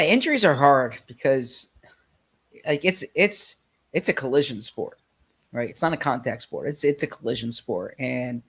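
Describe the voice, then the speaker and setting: A man's voice: mid-range voice, slightly rough. Slightly nasal man with a cold. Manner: halting, with frequent restarts. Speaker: sports podcast co-host. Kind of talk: casual chat.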